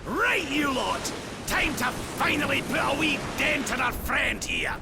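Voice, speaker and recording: An actor with a Scottish accent playing a jovial, folksy adventuring companion in a video game. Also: male voice, extremely high pitch, very animated, measured pace, vocal fry, very noisy, exaggerated voice. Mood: excited, rallying. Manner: chummy, colourful, folksy.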